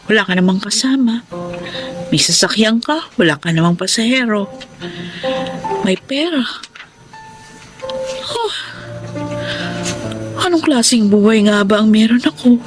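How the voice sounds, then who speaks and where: A woman acts out a successful but lonely career woman in a Filipino radio drama. She speaks in a reflective, heartfelt way with weary sighs, and music plays clearly behind her studio voice. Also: mid-range voice, lightly acted.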